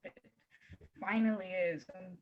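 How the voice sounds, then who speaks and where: A woman's voice: on the low side, fairly even intonation, unhurried, narrow, tinny audio, a little hiss or hum, close to an everyday voice. A woman reading the part of a restless, guarded teenage girl at a script table read, understated and evasive. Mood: guarded, subdued. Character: restless, guarded teenage girl dreaming of leaving her hometown.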